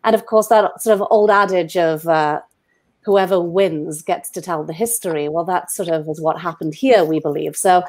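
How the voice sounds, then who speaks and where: A woman's voice: fairly low pitch, normal speed, darkish tone. Chatty Welsh woman on a video call. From Wales. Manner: chatty, laughs easily, self-deprecating. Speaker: guest playwright. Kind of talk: casual video-call chat. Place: home room. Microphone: earbuds on a video call.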